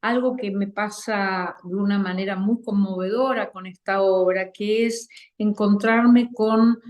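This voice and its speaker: An Argentine woman speaks slowly and deliberately as an interview guest, weighing each thought. She pauses with small fillers and keeps a calm, reflective tone. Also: medium pitch. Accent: Argentine Spanish. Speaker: actress and theater director, guest.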